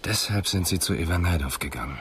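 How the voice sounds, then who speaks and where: Tiefer, nüchterner Ermittler. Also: male voice, low voice, lively intonation, a bit bright. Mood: probing. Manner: measured, probing. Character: sober, methodical male police inspector. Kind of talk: German radio crime drama acting. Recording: clear background hum and vehicle noise.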